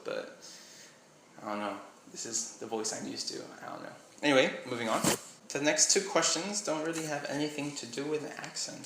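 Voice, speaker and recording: A young man with a slight Miami accent talks in a bright, forward voice. He rambles casually and trails off often. The room is echoey, with a faint hiss behind. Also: a little high. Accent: slight Miami English. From Miami, USA. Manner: rambling, trails off with "I don't know". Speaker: vlogger. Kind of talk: casual vlog chat, short read-aloud passage.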